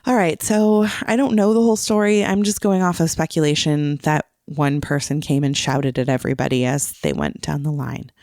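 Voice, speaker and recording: A woman's voice: deep voice, fairly even intonation, normal speed, hardly any pauses, a little mellow, dry recording, studio quality. A woman co-hosting a podcast, recounting things in casual, conversational storytelling. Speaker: podcast co-host. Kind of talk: casual chat, recounting a trip.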